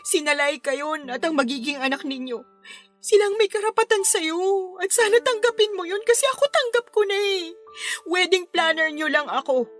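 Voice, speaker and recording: An actress playing a heartbroken young wedding planner in a radio drama, pleading tearfully but resolutely with a Filipino accent. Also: female voice, extremely high pitch, moderate pace, soft background music. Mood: sad, resolute. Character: heartbroken young female wedding planner giving up her lover.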